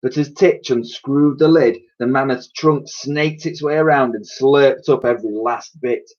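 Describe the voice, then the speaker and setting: Male storybook reader for children, expressive narration, slightly muffled lo-fi sound. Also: medium pitch, unhurried. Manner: expressive, acts out characters' dialogue. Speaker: teacher reading aloud to pupils.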